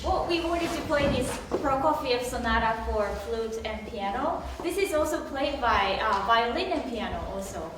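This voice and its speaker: A woman's voice: fairly high pitch, expressive, normal speed, hardly any pauses, distant mic, background noise. A woman with a Japanese accent, a pianist, explaining thoughtfully to a concert audience with frequent hesitations. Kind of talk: casual spoken explanation to a live audience. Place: concert hall. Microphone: distant room mic. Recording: echoey hall recorded from afar.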